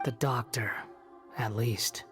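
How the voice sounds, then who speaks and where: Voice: medium pitch, steady tone, takes it slowly, natural voice. An actor plays a sardonic young male wanderer in a game cutscene. He is aloof and curt, proud and brooding, with dry delivery over faint music. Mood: grave. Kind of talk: video game cutscene acting.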